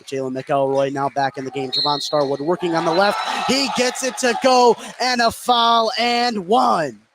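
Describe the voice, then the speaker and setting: A man with a fairly high voice gives live play-by-play of a basketball game. His delivery swells as the action heats up. Clear gym noise runs behind him. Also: fairly even intonation, moderate pace. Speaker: play-by-play announcer. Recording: clearly noisy gym ambience.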